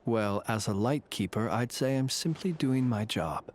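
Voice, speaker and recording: Man playing a courteous, dryly ironic game gentleman. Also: medium pitch, lively intonation, lightly acted. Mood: candid, measured. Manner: formal, measured, dryly ironic. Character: courteous, wry, secretive male lantern-bearing Lightkeeper. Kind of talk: video game voice acting.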